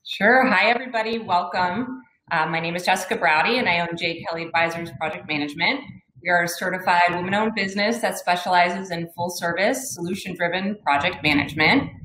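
A woman who owns a business introducing herself on a video-call panel, steady and matter-of-fact. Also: lowish voice, a little fast, a little hiss or hum. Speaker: project management business owner. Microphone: webcam on video call. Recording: echoey room over video call.

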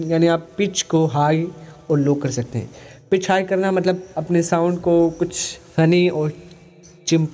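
A man with an Indian accent explaining software as a tutorial host, mixing in English phrases. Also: on the high side.

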